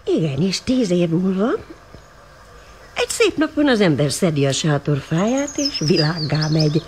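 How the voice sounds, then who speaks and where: A woman's voice: fairly low pitch, sing-song. An older woman playing a shrewd, loving, plain-spoken grandmother in a radio drama, asking probing questions. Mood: frank, wistful. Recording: noisy 1980 radio drama recording.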